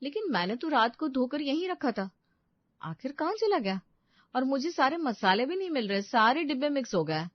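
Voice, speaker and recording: A woman's voice: sits high, sing-song, moderate pace, no room sound, voice pushed up. A woman acts out an aggrieved young wife of a crowded household in a story. She is plaintive and emphatic, recorded dry and a little muffled. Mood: frustrated. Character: harried, aggrieved elder daughter-in-law in a crowded household. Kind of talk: dramatised family story.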